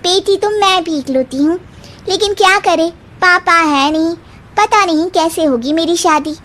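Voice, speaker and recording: A woman's voice: very high-pitched, unhurried, fairly bright tone, voice pushed up. A woman voicing a wistful, envious young sister-in-law in a storybook tale. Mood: wistful envy.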